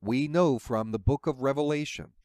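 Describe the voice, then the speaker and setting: A man's voice: mid-range voice, moderate pace, a little mellow, dry recording. A man giving opinionated political commentary in an emphatic, rhetorical, preachy way.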